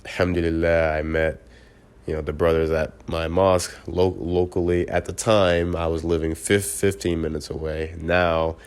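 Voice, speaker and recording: Congested man, extremely deep and fairly monotone, earnest solo vlogger who restarts words. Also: normal speed, clean tone. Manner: earnest, often restarts words mid-syllable. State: congested from a cold. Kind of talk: casual personal video reflection.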